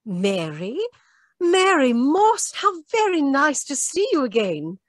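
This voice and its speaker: A woman playing a clever, earnest Victorian gentlewoman with a formal, emphatic manner in an old-time radio mystery. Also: sits high, very animated, takes it slowly, no room sound, clean studio sound, exaggerated voice. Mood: polite, pleased.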